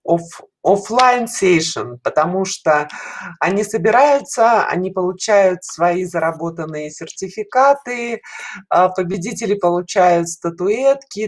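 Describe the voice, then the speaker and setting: Middle-aged woman, halting, explanatory talk. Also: fairly low pitch. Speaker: caller to an online show, head of a children's animation studio. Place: small dim room indoors, video call. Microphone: headset on a video call.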